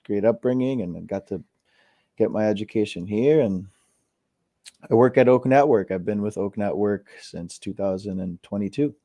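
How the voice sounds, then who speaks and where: Low, mellow man in his thirties. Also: normal speed, no room sound. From Costa Rica. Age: 30s. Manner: relaxed, repeats words, many fillers. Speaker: guest. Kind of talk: casual interview chat.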